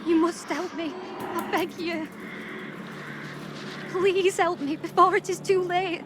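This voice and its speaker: High-voiced, pleading accused woman of witch-trial days. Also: steady tone, slow pace, background noise. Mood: desperate pleading. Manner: pleading, archaic formal phrasing. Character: accused 17th-century woman, earnest, fearful, pleading. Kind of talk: dramatic video game acting.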